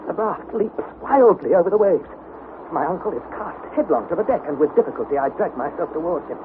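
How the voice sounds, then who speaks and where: A man's voice: on the high side, animated, fairly quick, close to an everyday voice. An actor playing an anxious young male adventurer, narrating his expedition journal in an earnest, intense, literary style for a radio drama. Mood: excited, awed. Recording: noisy, narrow-band vintage radio recording.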